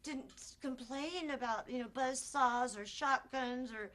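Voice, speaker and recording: Woman acting a distraught, high-voiced older grandmother. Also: fairly bright tone. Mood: rambling, fond. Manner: halting, stammering, repeating herself. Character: distraught older grandmother convicted of murder, protesting innocence. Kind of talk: dramatic stage monologue.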